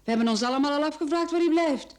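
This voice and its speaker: Radio drama: actress as dutiful, courteous female secretary with a high voice. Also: quick pace, natural voice. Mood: dutiful, concerned. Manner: polite, deferential. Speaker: actor in a radio play. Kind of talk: Flemish radio drama acting.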